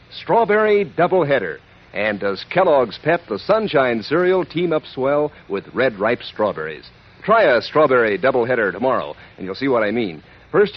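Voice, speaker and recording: Animated, husky vintage radio pitchman, muffled recording. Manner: chummy, folksy sales patter. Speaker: radio announcer and cereal pitchman. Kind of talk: 1940s radio advertising read.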